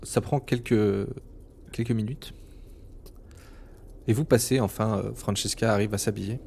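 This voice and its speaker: A man narrating a tabletop role-playing game as game master in a relaxed, halting way. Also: on the low side, vocal fry, breathy edge.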